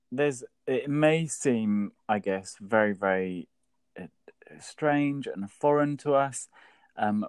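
A man's voice: mid-range voice, darkish tone, no room sound. A man co-hosting a workplace podcast, chatting casually with an enthusiastic, jokey manner. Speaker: podcast co-host.

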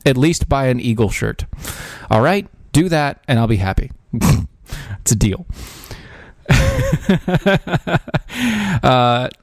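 A man's voice: medium pitch, huge pitch swings, slow, creaky, long pauses, no room sound, clean studio sound. A man hosting a podcast, giving casual political commentary in a sarcastic, ranting way with frequent restarts and fillers. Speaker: podcast host.

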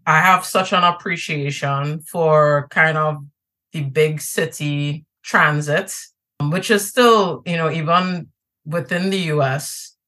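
A woman's voice: low voice, takes it slowly, a bit bright. A Trinidadian woman in a casual podcast interview, chatty and easygoing, with many fillers and restarts. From Trinidad and Tobago. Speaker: podcast guest.

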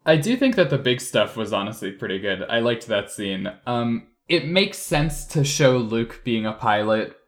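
A man's voice: medium pitch, animated, moderate pace, few pauses. A man leading a casual group discussion as a podcast host.

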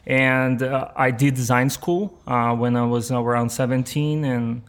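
A man in his thirties with a Brazilian accent and Portuguese as first language, chatting in an interview with halting phrasing. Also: medium pitch, steady tone, smooth. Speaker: podcast guest, motion and 3D artist. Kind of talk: casual interview conversation.

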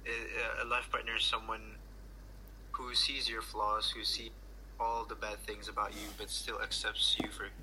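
A man with a slightly low but very bright, edgy voice talks through an idea haltingly. He keeps pausing with fillers and restarting his sentences. Faint noise hums behind him. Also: completely flat delivery, poor audio. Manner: hesitant, searching for words, many fillers. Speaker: podcast guest. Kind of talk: casual chat.

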